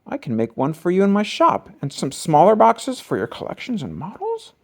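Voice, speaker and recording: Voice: mid-range voice, wildly swooping pitch, normal speed, darkish tone, voice pushed up. A storybook reader voicing a grown father bear who is firm but reasonable. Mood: bright, eager. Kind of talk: children's picture book read-aloud.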